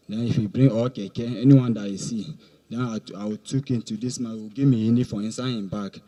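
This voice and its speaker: Nigerian man, thirties, mellow mid-range voice, halting and deferential suspect under questioning. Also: mid-range voice, rough recording. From Nasarawa State, Nigeria. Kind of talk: answering questions in halting English. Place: outdoors in a police compound. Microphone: handheld microphone.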